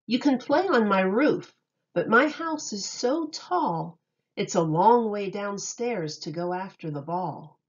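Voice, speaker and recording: A woman reading a rhyming picture book aloud in an expressive, sing-song way meant for young children. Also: mid-range voice, takes it slowly, dull audio.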